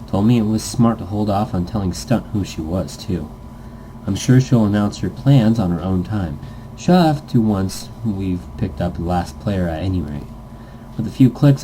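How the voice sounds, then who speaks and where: A man's voice: on the low side, dark tone, a little hiss or hum, rough recording. A man casually reading a visual novel script aloud as narration, stumbling now and then and correcting himself. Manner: stumbles over words, corrects himself, jokey asides.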